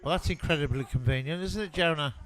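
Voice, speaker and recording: A man playing a cocky, dim but easygoing detective sidekick in an amateur comedy audio drama, flippant and deadpan. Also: a little high, quick pace, lightly acted.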